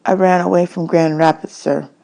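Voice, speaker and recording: A woman's voice: fairly low pitch, flat delivery, normal speed, vocal fry, dark tone. A woman reader plays a young runaway boy from a children's storybook. He is wary and hungry but very polite, speaking in hesitant, deferential little replies. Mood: guarded, polite. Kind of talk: children's novel read aloud.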